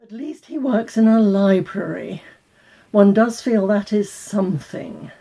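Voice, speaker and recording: A woman's voice: mid-range voice, a little slow, creaky, dark tone, breathy edge. A woman playing a prim, disapproving, class-conscious older aunt in a dramatized novel reading.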